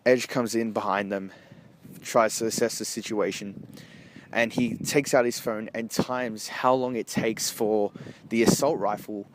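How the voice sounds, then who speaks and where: A man with a fairly low voice talks through a casual amateur movie review. He is hesitant and halting, often rephrasing as he goes. He is walking outdoors along a street, and the noise of traffic is clearly heard behind him. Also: steady tone. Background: street and vehicle noise.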